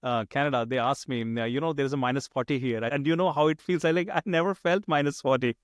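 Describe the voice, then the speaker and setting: A man's voice: mid-range voice, quick pace, no echo, studio quality. A man hosting an interview, chatting casually and adding short, supportive comments. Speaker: podcast host. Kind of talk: conversational interview.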